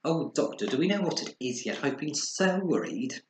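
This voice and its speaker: A man's voice: on the high side, big pitch swings, normal speed, reverberant, slight background noise, voice pushed up, low-quality recording. Man acting a fretful storybook mummy bear. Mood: worried. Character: anxious, caring mother bear.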